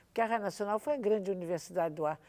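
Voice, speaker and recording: A woman's voice: mid-range voice, racing, a little mellow. An older woman, a radio drama veteran, reminiscing emphatically and seeking agreement. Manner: emphatic, repeats points, seeks agreement. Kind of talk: reminiscing in conversation.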